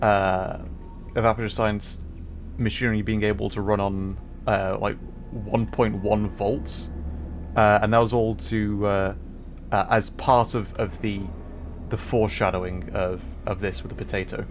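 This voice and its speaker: Low-voiced man doing casual game commentary. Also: flat delivery, unhurried, slight background noise. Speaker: livestreamer playing a video game.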